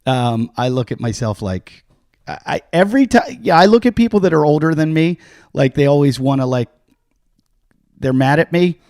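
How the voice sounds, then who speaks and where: A man's voice: fairly high pitch, animated, moderate pace, a little mellow, no echo, clean studio sound. A middle-aged man from Pittsburgh hosting a podcast, telling self-mocking anecdotes in a loose, rambling way and acting out other people's lines. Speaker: podcast host, comedian. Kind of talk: casual storytelling chat.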